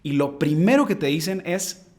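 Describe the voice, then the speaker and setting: A man hosting a podcast, talking earnestly and casually, using slang and addressing listeners directly, with his loudness swinging between soft and emphatic. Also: a little high, lively intonation, moderate pace. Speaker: podcast host. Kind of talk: casual reflective monologue.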